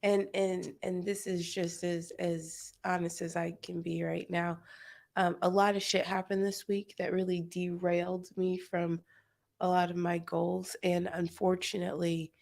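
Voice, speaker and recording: A woman with a lowish, gravelly voice co-hosting a podcast. She talks slowly and candidly, in a soft-spoken manner. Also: flat delivery. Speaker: podcast co-host. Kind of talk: casual podcast chat.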